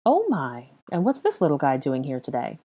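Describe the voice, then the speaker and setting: A woman's voice: a bass voice, wildly swooping pitch, moderate pace, warm tone, heavily acted. A woman voicing a friendly, chatty male desk clerk.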